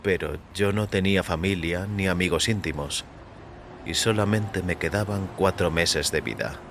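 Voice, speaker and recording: A man reading scripted first-person fiction as a narrator, in a measured, literary, sombre manner. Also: low-pitched, noisy background. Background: faint music.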